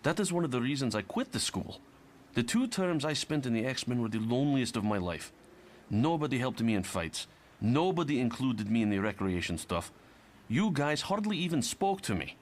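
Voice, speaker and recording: An actor playing a bitter young Russian mutant who is fed up with heroics, defiant and argumentative, in a motion comic. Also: male voice, mid-range voice, big pitch swings, moderate pace, lightly acted.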